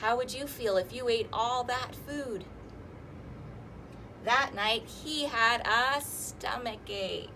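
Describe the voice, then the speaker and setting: A woman hosting a children's storytime, reading rhymes and picture books aloud in an animated, sing-song, exaggerated way. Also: a little high, slow pace, slight background noise. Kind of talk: interactive children's read-aloud with rhymes and fingerplay.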